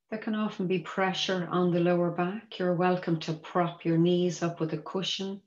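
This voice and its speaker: Low-voiced woman guiding a meditation. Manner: gentle, measured, invitational. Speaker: mindfulness teacher guiding a body scan. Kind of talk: guided meditation instruction. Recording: roomy echo, dull muffled sound.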